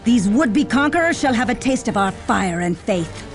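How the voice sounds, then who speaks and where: A stern, devout warrior abbess for a video game. Her voice is high and sweeps widely with imperious, grandiose zeal, over prominent music. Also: animated, moderate pace. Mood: resolute. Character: zealous commanding battle nun leader, stern and pious. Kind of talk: theatrical video game voice acting. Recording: loud game music behind.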